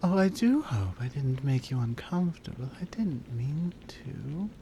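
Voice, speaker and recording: A confident, flirty lioness acted out by a woman purrs through an intimate ASMR roleplay in a low, mellow voice. Her delivery is unhurried and breathy, teasing as it slips toward whispers, with lively melodic swings over faint background noise. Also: heavily acted. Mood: gentle, apologetic.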